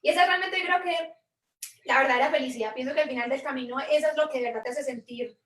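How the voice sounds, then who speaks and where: A Colombian woman from Medellín speaks in a high voice, earnest and reflective, often restarting her sentences and adding little tag questions. Her voice sounds set back from the mic in a roomy space. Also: fast, fairly bright tone, far from the mic. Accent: Colombian Spanish, Medellín. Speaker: guest investor. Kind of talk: reflective interview storytelling.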